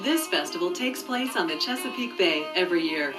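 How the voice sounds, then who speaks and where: A woman narrating a scripted promotional video in a clear, measured, informative way. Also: on the low side, animated. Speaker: voice-over narrator. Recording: muffled distant VHS tape dub with music. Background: background music.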